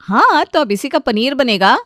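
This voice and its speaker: A woman voicing a dutiful, traditional elder sister-in-law who explains gently and reassuringly in a family story. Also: high-pitched, sing-song, moderate pace, no room sound, studio quality, voice pushed up. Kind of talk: acted Hindi family story dialogue.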